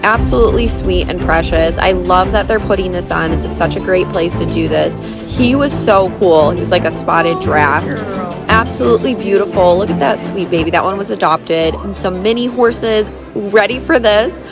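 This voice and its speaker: A woman's voice: on the low side, normal speed, loud music behind the voice. A woman in her thirties narrating a casual vlog in a gushing, enthusiastic manner. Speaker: vlogger and horse owner.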